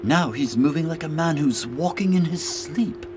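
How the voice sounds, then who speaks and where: An actor playing an observant, commanding and concerned gentleman in an audio drama, speaking precisely with authority. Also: on the high side, animated, moderate pace, background noise, natural voice. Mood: observing, intrigued.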